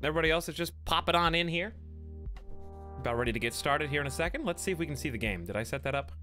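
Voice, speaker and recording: A man's voice: on the high side, expressive, rapid-fire, bright, forceful tone. A man with a cold, chatting casually with viewers while live-streaming a game from home on a desk mic. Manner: chatty, greets viewers, jokes. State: has a cold. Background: faint game music.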